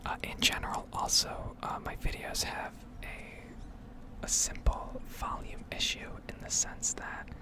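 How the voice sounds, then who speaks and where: A woman whispering in a heavy, breathy voice close to the microphone, rambling casually and hesitantly as in an ASMR gameplay video. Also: unhurried.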